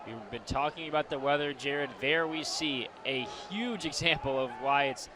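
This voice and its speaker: A man's voice: on the high side, normal speed. An American man giving color commentary and analysis during a live lacrosse broadcast. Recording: live game, clear background noise. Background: outdoor game noise.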